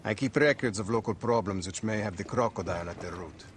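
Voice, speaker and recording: Man playing a low-pitched, wary rebel leader. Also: fast, natural voice. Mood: grave, confiding. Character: wary, embattled rebel leader, husband and father. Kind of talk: dramatic video game cutscene acting. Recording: clearly audible ambient noise behind.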